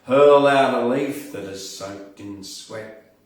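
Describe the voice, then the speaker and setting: A man hosting a casual poetry reading, speaking in an unhurried, deliberate way. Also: mid-range voice. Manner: unhurried, deliberate, dramatic when reciting verse. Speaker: poetry podcast host and reader. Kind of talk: casual live poetry reading and event announcements. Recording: very echoey room, distant from mic.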